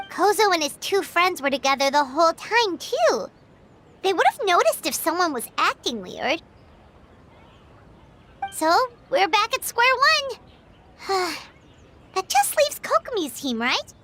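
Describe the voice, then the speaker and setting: A woman voicing a small, eager, cheeky fairy companion in a video game, with a squeaky childlike voice and an excitable, chatty manner. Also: slight background noise, heavily acted. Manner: refers to self in third person, excitable. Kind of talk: animated video game voice acting.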